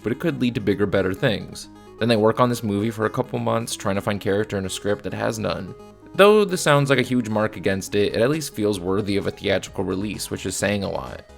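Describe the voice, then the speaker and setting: A man with a low voice that often drops into fry. He delivers a blunt, casual scripted review, with faint music underneath. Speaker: video reviewer. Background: faint background music.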